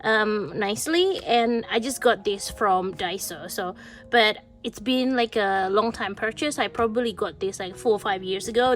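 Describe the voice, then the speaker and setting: A woman with a mid-range voice, a little congested from a cold, chats casually at her desk. She rambles with fillers and restarts. Faint music plays behind her. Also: mid-range voice. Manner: chatty, lots of fillers and restarts. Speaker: stationery vlogger. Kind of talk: casual show-and-tell chat. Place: indoors at a desk.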